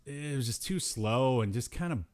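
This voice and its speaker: A man hosting a podcast, chatting casually about retro cartoons in a hesitant, halting way. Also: medium pitch, expressive. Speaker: podcast host.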